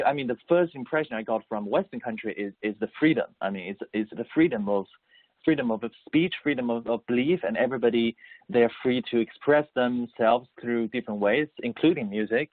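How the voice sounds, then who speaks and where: A man from Beijing whose first language is Mandarin, speaking English with a Mandarin Chinese accent as an interview guest. He answers haltingly and repeats words as he searches for phrasing. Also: mid-range voice, no echo. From Beijing, China. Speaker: guest composer and musician. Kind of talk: interview answers over the phone. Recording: tinny dry phone line.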